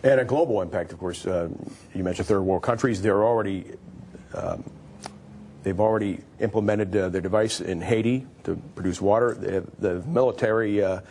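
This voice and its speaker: A man's voice: fairly low pitch, normal speed, darkish tone. A man in his fifties hosting and moderating a studio panel discussion. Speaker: host. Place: TV studio, standing at desk. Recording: clear background noise.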